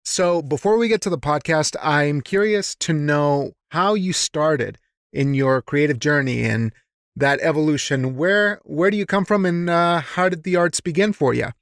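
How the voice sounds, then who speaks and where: A man's voice: a little high, unhurried, no echo, studio quality. A man hosting a podcast, chatting casually and encouragingly as he interviews a guest.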